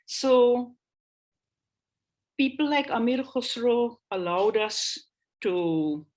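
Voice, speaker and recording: A woman giving an online lecture over a video call, thinking aloud with frequent pauses and restarts. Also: a little high. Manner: reads out an old text in a slower voice. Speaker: guest lecturer on language history.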